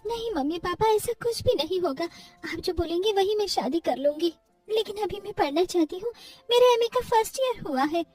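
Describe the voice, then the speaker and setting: ऊँची आवाज़ वाली भोली, दुखियारी युवा पत्नी महिला कलाकार. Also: female voice, very high voice, lively intonation, moderate pace, darkish tone, recorded from a distance, soft background music. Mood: earnest. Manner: pleading, earnest. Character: meek, suffering young wife and devoted mother.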